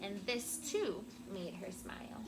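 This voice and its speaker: A woman teacher reading a storybook aloud to children in a classroom, with expressive, deliberate phrasing and prompting questions. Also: mid-range voice. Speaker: elementary school teacher. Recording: very noisy classroom recording.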